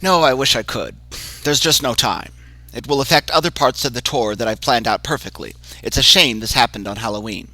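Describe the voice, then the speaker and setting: A man's voice: mid-range voice, a little fast, sharp tone, dry recording. A man voicing a weary old haunted-house owner. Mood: apologetic. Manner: pleading, apologetic. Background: music.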